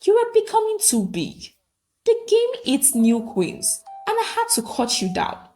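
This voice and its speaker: Woman voicing a cunning, slow-talking false friend. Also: high voice, wildly swooping pitch, warm tone, soft background music, voice pushed up, heavily acted. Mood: cold, calculating. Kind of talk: storybook character dialogue.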